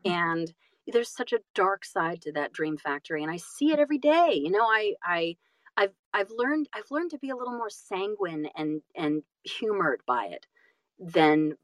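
Thoughtful, halting woman in dry-sounding conversation. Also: lowish voice, big pitch swings, no echo. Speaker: guest, audiobook narrator and voice-over artist. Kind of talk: reflective interview conversation.

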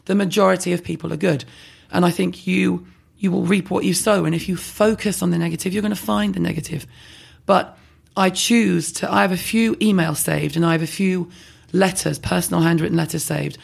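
A woman with a husky, heavily gravelly voice talks fast and bluntly. She is animated and self-deprecating, trading candid views in a podcast conversation. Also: lowish voice. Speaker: skincare blogger guest.

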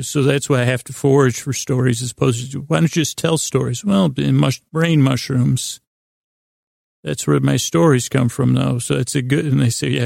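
A man with an extremely creaky, gravelly voice hosts a bedtime podcast in a very dry recording. His improvised monologue is halting and meandering, full of self-deprecating digressions. He often drifts into imagined exchanges, voicing both sides as himself. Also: medium pitch, a little mellow, no room sound. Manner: acts out imagined back-and-forth dialogue in his own voice. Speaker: podcast host.

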